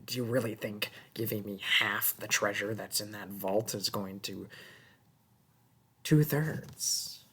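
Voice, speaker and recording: A performer voicing a small, sneaky, mocking goblin thief in a fantasy audio roleplay, taunting and haggling in a husky, breathy voice that often drops to a whisper. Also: medium pitch, fairly bright tone, full character voice. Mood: haggling, teasing. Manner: taunting, haggling, drawn-out wheedling.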